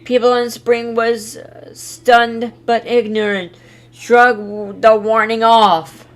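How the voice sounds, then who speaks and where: A woman giving a casual live reaction, excitable and exclaiming, sometimes reading haltingly. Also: on the high side. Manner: excitable, exclaiming, reads on-screen text aloud haltingly. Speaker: streamer reacting to a video.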